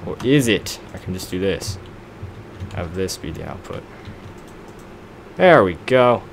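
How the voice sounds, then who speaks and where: A man's voice: sits low, big pitch swings, a little slow, vocal fry, a little hiss or hum. A young man casually narrating a block-building game, rambling and poking fun at himself while he works things out. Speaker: let's play gamer. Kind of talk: casual gameplay commentary.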